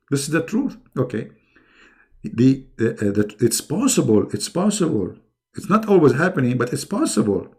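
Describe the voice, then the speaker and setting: A man's voice: mid-range voice, dark tone. A middle-aged man who speaks English as a second language hosts a live online debate in a mocking, emphatic, sarcastic way. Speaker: debate host.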